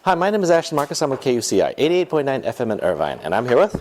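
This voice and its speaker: A man's voice: mid-range voice, huge pitch swings, rapid-fire, darkish tone, slight background noise. A man working as a radio host, doing interviews and paying effusive compliments. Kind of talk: on-location interview.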